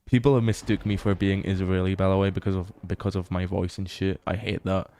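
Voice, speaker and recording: A young British man livestreaming as he explores a game. He chats casually, swears freely and bursts into excited exclamations. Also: deep voice, a little fast, slight background noise.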